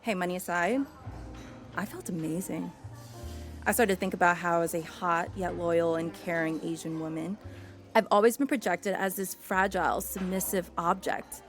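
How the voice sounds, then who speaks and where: An actress playing a young, people-pleasing hustler in a scripted podcast comedy, with an animated, rambling, sardonic way of talking. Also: female voice, fairly low pitch, normal speed, soft background music, natural voice. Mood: confident narration. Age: young adult. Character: young, hustling, people-pleasing woman, sharp-tongued and desperate.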